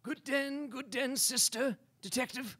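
A man's voice: very high-pitched, unhurried, bright, forceful tone, husky, heavily acted. A man playing a solemn, sententious pastor with formal, old-fashioned manners in a comedy radio play. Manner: formal, archaic greetings.

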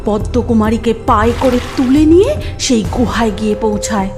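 A woman's voice: on the high side, normal speed, over loud music. A woman narrating a scripted fairy tale as a storyteller.

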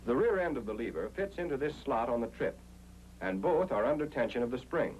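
A man's voice: mid-range voice, lively intonation, fairly quick, lo-fi sound. An American man narrating an instructional film in 1940s style, explaining things in a methodical, step-by-step way. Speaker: narrator of a military training film. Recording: noisy 1940s film soundtrack with hum.

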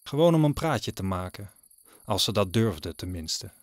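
A man narrating an audio drama as a scripted story, deliberately, with suspenseful pauses. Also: fairly low pitch, sing-song, no echo, studio quality. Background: music.

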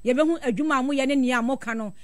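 Female Ghanaian radio interviewer, high-pitched voice, close dry studio sound, broadcast interview delivery. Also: fast talker, dry recording.

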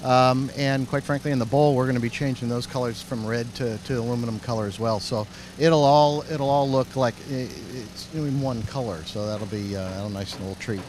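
A middle-aged man with a medium-pitched voice gives plain, unpolished answers full of pauses and fillers. He talks into a handheld mic outdoors in a half-built stadium. Faint city noise sits behind him. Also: a little mellow, poor audio. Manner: plain-spoken, halting, with many fillers. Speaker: project manager. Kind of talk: casual on-site interview answers. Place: outdoors in an unfinished stadium under construction. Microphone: host's handheld microphone.

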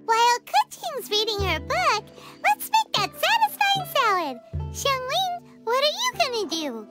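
Tiny excitable fairy companion, childlike, extremely high, game music loud behind. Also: female voice, a little slow, exaggerated voice. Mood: cheerful, eager. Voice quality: squeaky, childlike. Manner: bubbly, speaks of self in third person. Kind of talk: video game voice acting.

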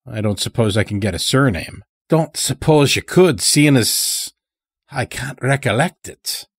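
A man's voice: medium pitch, animated, unhurried, breathy edge, dry recording, clean studio sound, voice pushed up. A man voicing a sly, weary old stranger in an audio story, with a folksy, rambling way of talking. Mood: wry, casual. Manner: folksy, rambling, dropped words.

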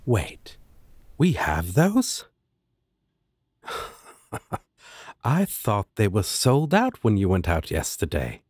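A man's voice: lowish voice, wildly swooping pitch, extremely slow, long pauses, a little mellow, husky, no echo, clean studio sound, exaggerated voice. A man playing a laid-back, affectionate demon roommate in a fantasy audio roleplay, casual and playful with a sardonic edge. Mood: playful, teasing.

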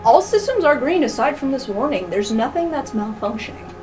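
A woman's voice: high voice, big pitch swings, fairly quick, music in the background. A woman running a tabletop roleplaying game as game master, narrating scenes on the fly and addressing players.

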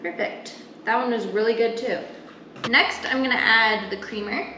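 A woman's voice: a little high, normal speed, pushed and bright. A young adult woman giving a casual, step-by-step cooking demonstration in an informal, explanatory way while she works in a home kitchen. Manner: informal, explanatory, talking while working with her hands. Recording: roomy kitchen echo, clear background noise.

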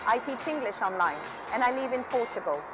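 A woman from Belgium, a native French speaker, presents a scripted promotional video. Her voice sits fairly high, with music clearly audible underneath. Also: lively intonation, fairly quick, low-quality recording. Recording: video played with music behind.

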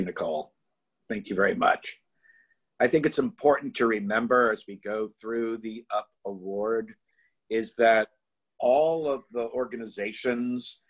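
A man's voice: medium pitch, breathy edge, poor audio. Middle-aged man hosting over video call. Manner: friendly, easygoing storytelling. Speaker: event host. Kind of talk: hosting a virtual award event. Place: home study with bookshelves. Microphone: laptop video call.

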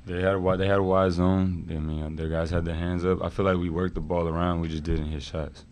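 A man's voice: low voice, little pitch movement, brisk, a little mellow, slight background noise. A young man, a college basketball player, giving brief, matter-of-fact answers at a post-game press conference. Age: young adult. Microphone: table mic.

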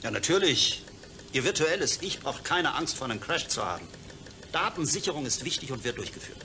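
An eager, defensive project doctor in a radio play. He talks quickly with a very lively, swinging pitch, always placating and insistent. Noticeable ambient noise sits behind him. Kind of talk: German science-fiction radio drama acting.

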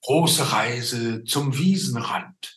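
Male host, 60s. Slow, halting, conversational interview delivery over a roomy video call. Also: medium pitch, a bit bright. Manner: halting, often tagging sentences with a questioning 'ne?'. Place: indoor room at home, on a video call.

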